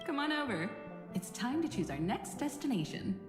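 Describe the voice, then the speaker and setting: A woman playing a poised, friendly game navigator who speaks in an encouraging, gently teasing way. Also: on the high side, big pitch swings, normal speed. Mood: inviting. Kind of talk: video game voice acting. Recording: echoey hall reverb with music behind.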